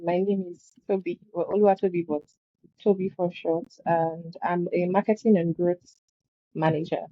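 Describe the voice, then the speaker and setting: A woman with a lowish voice speaks in a friendly way. Her voice sounds dry and dull, as over a lo-fi video call. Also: fairly even intonation, normal speed, no echo. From Nigeria. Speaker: guest, marketing manager.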